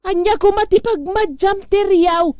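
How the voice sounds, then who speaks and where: A woman playing a high-pitched exasperated nagging wife. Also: unhurried, warm tone, slight background noise. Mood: scolding. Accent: Ilocano. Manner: scolding, interrupting. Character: exasperated nagging wife, sharp-tongued and impatient. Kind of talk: comedy audio drama acting.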